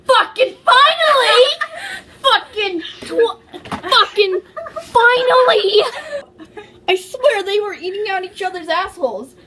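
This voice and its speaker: Very high-pitched, brash, excitable woman. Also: takes it slowly, background noise. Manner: brash, excitable, swears freely. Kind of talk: casual chat among friends.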